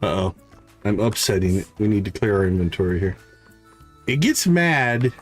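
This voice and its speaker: A man's voice: on the low side, very animated, normal speed, faint music behind. A man hosting a live game stream, thinking aloud in short casual fragments and chatting to viewers, with a slight catch in his throat. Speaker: livestream host, gamer.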